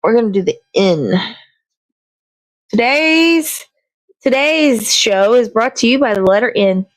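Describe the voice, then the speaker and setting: A woman's voice: fairly high pitch, lively intonation, a little slow, no room sound. A woman hosting a casual show, chatting and rambling as she works on a craft. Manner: rambling, self-correcting, chatty. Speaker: host. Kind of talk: casual chat while crafting.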